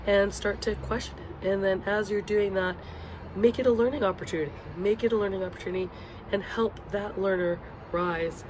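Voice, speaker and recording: A woman with a hoarse, sick voice speaks as an instructor in an informal video blog, giving updates to her students in a hesitant, pausing way. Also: medium pitch, fairly even intonation. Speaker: university education instructor hosting a video blog. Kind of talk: informal course announcements to students. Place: outdoors on a patio. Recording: loud outdoor ambient vehicle noise.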